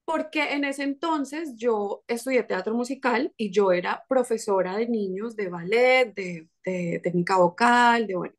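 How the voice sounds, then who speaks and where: A woman in her thirties from Colombia with a Colombian Spanish accent, a podcast guest telling stories in lively casual chat with quick restarts. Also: fairly high pitch. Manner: lively storytelling with frequent restarts and laughs.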